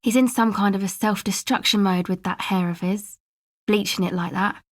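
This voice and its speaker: A woman's voice: mid-range voice, fairly even intonation, moderate pace, no echo, natural voice. Woman playing a laid-back, guarded young club girl, dry sound. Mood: casual. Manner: offhand, slangy, hesitant asides. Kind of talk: casual video game dialogue.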